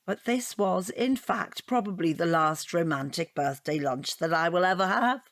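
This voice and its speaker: A middle-aged British divorcee in an audio comedy drama. Her voice sits fairly low and is recorded dry and close. She rambles chattily, wry and defensive, forever justifying herself. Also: animated, moderate pace, no room sound.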